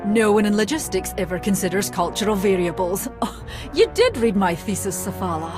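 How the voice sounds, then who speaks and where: A self-assured female military researcher from a science fiction game, performed by a woman. Her medium-pitched voice is formal with a dry, teasing edge. Music plays clearly behind her. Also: lively intonation, brisk, close to an everyday voice. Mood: wry, teasing. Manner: formal yet wry and needling. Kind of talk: video game cutscene acting.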